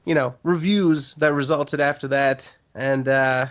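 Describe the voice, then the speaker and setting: A man's voice: mid-range voice, fairly even intonation, measured pace, a bit bright, dull audio. A man co-hosting a casual gaming podcast, a bit congested, talking in a rambling, joking way with lots of fillers. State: congested from allergies. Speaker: podcast co-host.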